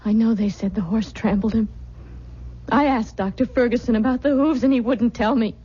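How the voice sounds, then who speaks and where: An actress playing a listless, grieving young bride haunted by demonic dreams, withdrawn and brooding in an old-time radio drama. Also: female voice, on the high side, fairly even intonation, normal speed. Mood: brooding, intense. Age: young adult. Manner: withdrawn, brooding, breathy hushed intensity. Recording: muffled old radio recording with music.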